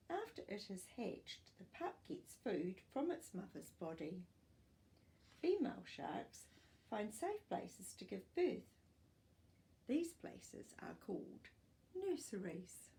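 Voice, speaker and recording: An older woman reads a non-fiction book to children, pausing to explain things in clear phrases. Her delivery is slow and animated, with pitch that rises and falls freely. There is some creak in her voice. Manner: expressive, clearly phrased, explaining to young listeners. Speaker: storytime host reading aloud. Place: small furnished living room, indoors.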